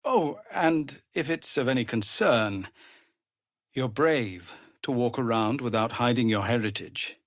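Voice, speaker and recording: A male actor playing a weary, honourable leader of embattled refugees in a video game, grave, measured and formal, with a husky voice that turns gravelly under strain. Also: medium pitch, animated, no echo, clean studio sound. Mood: grave, earnest. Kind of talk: dramatic game dialogue.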